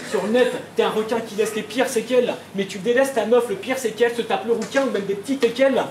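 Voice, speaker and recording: A man's voice: sits high, quick pace. A man battle rapping a cappella, firing insulting punchlines at his opponent. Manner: insulting, punchline-driven delivery. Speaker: battle rapper. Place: event hall. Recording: echoey hall with background noise.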